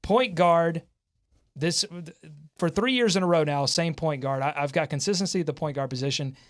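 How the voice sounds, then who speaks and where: Excitable man hosting sports talk, higher-pitched voice. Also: normal speed. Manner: excitable, jokey. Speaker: sports show host. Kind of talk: lively sports talk with co-hosts.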